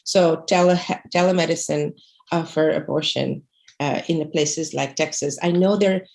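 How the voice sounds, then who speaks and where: A woman's voice: low voice, fairly even intonation, normal speed, vocal fry, darkish tone. A middle-aged woman from Bangladesh, an activist presenting slides on a video call, speaking earnestly with many fillers and restarts. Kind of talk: presenting slides in a livestream talk. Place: home room indoors. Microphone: video call webcam.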